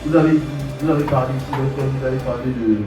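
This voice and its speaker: A man's voice: mid-range voice, steady tone, fast, background noise. A young man puts a formal, polite question from the audience in careful phrases. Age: young adult. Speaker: law student asking a question from the audience. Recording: echoey hall, distant mic, music behind.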